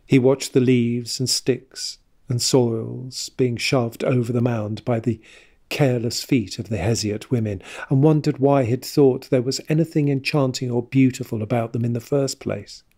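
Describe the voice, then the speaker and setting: Audio drama narrator, male, slightly husky, measured and serious, scripted literary storytelling. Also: medium pitch, fairly even intonation, a little mellow.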